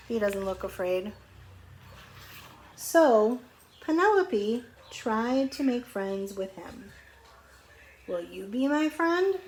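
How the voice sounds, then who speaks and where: A middle-aged woman reads a picture book aloud to young children, pausing to explain simply and ask questions in a conversational way. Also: medium pitch. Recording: clearly noisy home recording.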